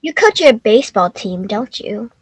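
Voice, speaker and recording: A woman's voice: medium pitch, expressive, normal speed, husky, no echo. A woman reading a sweet, earnest, overworked young stepdaughter in a readers theater play. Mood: wistful.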